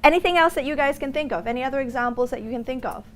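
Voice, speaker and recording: Singapore-raised woman lecturing, accent mixed with american, a bit high, tells stories to the class. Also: racing. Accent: muddled Singaporean and American. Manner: animated storytelling, asks the class questions. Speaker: business school lecturer.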